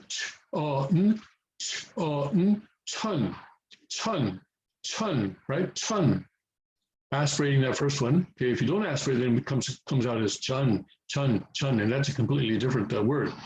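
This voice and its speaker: A man's voice: medium pitch, a little mellow, slight background noise, poor audio. A man whose first language is English, patiently teaching how to read Korean letters in a casual home tutorial, repeating himself and checking in often. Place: home near a highway, passing traffic.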